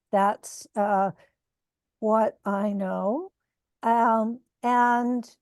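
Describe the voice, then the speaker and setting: A woman hosting an online event, speaking informally in short phrases with hesitant pauses as she introduces someone. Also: fairly high pitch, a little mellow, dry recording. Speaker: host, author introducing readers. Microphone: video call.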